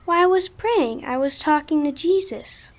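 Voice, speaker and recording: A woman's voice: high voice, normal speed, no room sound. A woman playing a pious, earnest twelve-year-old saintly girl in a religious audio drama. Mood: gentle, sweet.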